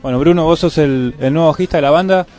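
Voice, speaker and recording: A man's voice: on the high side, fast talker, dark tone, faint music behind. A man with an Argentine accent co-hosting a radio show, interviewing a band in a joking, easygoing way. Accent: Argentine Spanish. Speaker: radio host.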